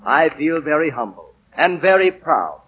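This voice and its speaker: An actor playing an earnest young employee giving a formal, declamatory speech in 1940s radio drama style. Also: male voice, on the high side, big pitch swings, unhurried, slightly rough, phone-quality sound, heavily acted. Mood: solemn, grateful. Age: young adult. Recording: noisy 1940 radio broadcast.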